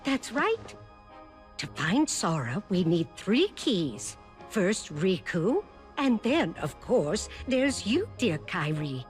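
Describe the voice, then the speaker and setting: An actress playing a kindly, gracious elderly fairy godmother in a video game, gentle and polite. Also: medium pitch, sing-song, slow pace, faint music behind.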